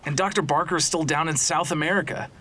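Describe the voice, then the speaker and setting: A man's voice: on the high side, quick pace, sharp tone, slight background noise. A man playing an earnest, anxious archaeologist's assistant with a wry streak in an audio drama. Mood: worried. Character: male archaeologist's assistant, anxious, deferential, wryly sarcastic.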